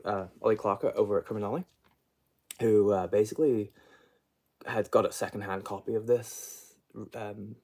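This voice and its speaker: A man's voice: on the low side, a little mellow. A man hosting an unscripted book livestream, chatting casually in a rambling, hesitant way and often trailing off mid-thought.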